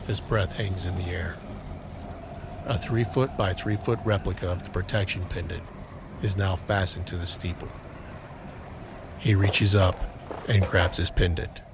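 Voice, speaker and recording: A man narrating a scripted audio drama in a deliberate, dramatic manner. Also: deep voice, steady tone, background noise. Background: dramatic music.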